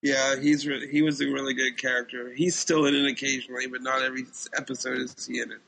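A man's voice: mid-range voice, flat delivery, quick pace, gravelly, sharp tone, low-quality recording. A man co-hosting a podcast in casual chat, speaking in an opinionated way. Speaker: podcast co-host. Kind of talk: casual chat about TV shows.

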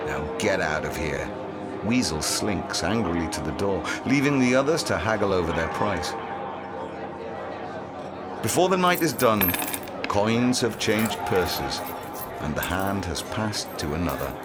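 A man narrating a dark story in a theatrical, atmospheric dramatic reading. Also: lowish voice, very animated, measured pace. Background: music.

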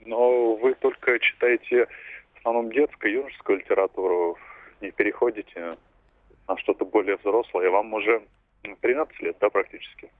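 A man phoning in to a live show, his voice squeezed through a tinny, dry phone line. He speaks in a fairly low register and addresses the host with stiff, formal courtesy. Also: moderate pace, no room sound. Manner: formal and polite. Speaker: caller. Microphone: telephone.

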